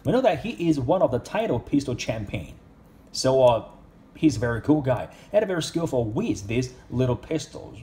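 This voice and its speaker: A man's voice: mid-range voice, big pitch swings, moderate pace, mellow, a little hiss or hum. A man reviewing an action figure in casual speech, with simple, non-native English phrasing. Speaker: toy reviewer.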